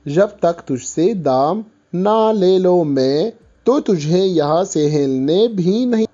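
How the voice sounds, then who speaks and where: A man voicing an arrogant bully in a cartoon story, speaking in a haughty, loud, threatening way. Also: high voice, lively intonation, highs cut off. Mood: furious, threatening.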